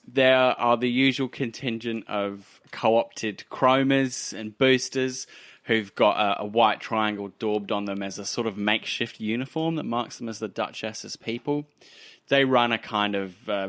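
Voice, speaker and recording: Low-voiced, wry man as game master. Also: a bit bright, clear, resonant tone, no room sound. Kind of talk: narrating a tabletop roleplay game.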